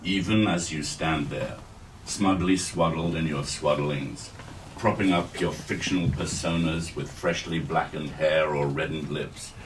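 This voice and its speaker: A man reading a literary monologue aloud at a bookstore event, deliberate and rhetorical, pausing between phrases. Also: a bass voice. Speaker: reader at a staged literary reading. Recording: distant mic, faint noise.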